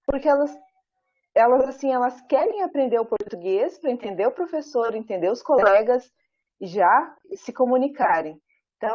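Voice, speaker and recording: A Brazilian woman professor giving an academic talk over a video call, speaking in a measured, thoughtful way with frequent pauses and tag questions. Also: fairly high pitch, a little mellow. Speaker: professor presenting her research. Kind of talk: academic talk at an online book launch. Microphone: video call, camera off. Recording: muffled video call audio.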